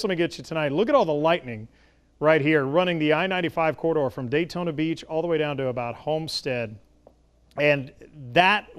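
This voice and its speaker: A man's voice: on the high side, smooth. A man giving a broadcast weather forecast as a TV meteorologist, explaining with folksy analogies.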